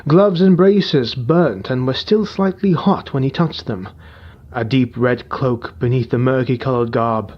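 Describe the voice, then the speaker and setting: A man narrating a dark fantasy audiobook in a measured, somber storytelling voice. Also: medium pitch, dull audio.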